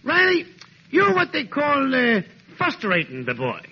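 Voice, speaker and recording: Gravelly, swooping know-it-all pal. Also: male voice, very high voice, huge pitch swings, a little slow, slight background noise, exaggerated voice. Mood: smugly advising. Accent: American. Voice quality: gravelly, raspy. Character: cocky know-it-all neighbor pal, self-appointed psychologist. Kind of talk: 1940s American radio comedy acting. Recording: muffled old radio recording.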